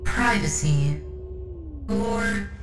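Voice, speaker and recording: A woman's voice: medium pitch, lively intonation. A woman voicing a solemn, devout cyborg tech priest surgeon in a formal, reassuring ASMR roleplay. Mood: calm, reverent. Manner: slow, formal, ceremonial, reassuring. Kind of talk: ASMR roleplay, scripted monologue. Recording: roomy echo, distant, music behind.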